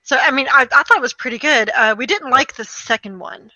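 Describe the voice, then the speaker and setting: A woman with a slightly high, very bright and forceful voice talks casually as a co-host. She is conversational and opinionated, and the recording is dry and close. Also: expressive, a little fast, no echo, poor audio. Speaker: podcast co-host. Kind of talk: casual chat and commentary.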